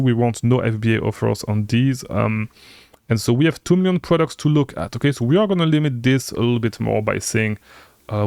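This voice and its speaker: A man whose first language is French, coaching online sellers through a software tutorial, repetitive and insistent, tagging lines with "okay?". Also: medium pitch, vocal fry, no room sound. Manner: repetitive, lots of "okay?" tags, energetic and insistent. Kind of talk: screen-share software tutorial.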